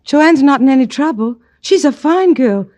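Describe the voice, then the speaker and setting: A blunt, suspicious American landlady in a vintage radio drama. Her voice is very high and jumps about expressively. She sounds folksy and defensive. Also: expressive, normal speed, warm tone, no room sound. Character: protective, suspicious, brassy working-class landlady. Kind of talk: 1940s-50s radio drama character acting. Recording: old radio drama recording.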